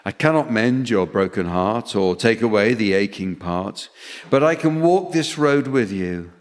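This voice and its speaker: A man chairing a comedy radio panel game, reading out verses and jokes in a dry, deadpan way. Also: fairly low pitch, unhurried. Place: theatre stage before an audience. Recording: noisy hall with long reverb.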